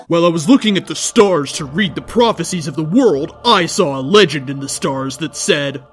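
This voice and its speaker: A man with a fairly high voice reads a fan fiction story in the first person. His delivery is dramatic and declamatory, with music softly behind him. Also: expressive, moderate pace. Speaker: story reader.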